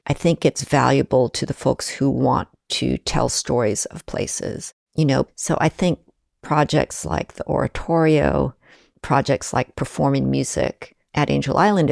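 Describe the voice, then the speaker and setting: A woman speaking as an interview guest, reflective and measured, talking with warm enthusiasm about shared projects. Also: rumbling low, creaky, no echo, studio quality.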